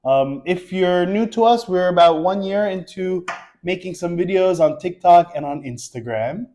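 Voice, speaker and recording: New Yorker co-host, relaxed storyteller, dark warm tone. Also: male voice, sits high, normal speed. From Queens, New York. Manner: easygoing storyteller, guides the conversation. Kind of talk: casual couple chat.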